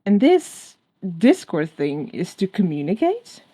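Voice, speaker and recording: A woman's voice: on the low side, wildly swooping pitch, takes it slowly, mellow, slightly rough, full character voice. A woman voicing a prim, easily shocked male angel with formal, incredulous delivery in a story reading.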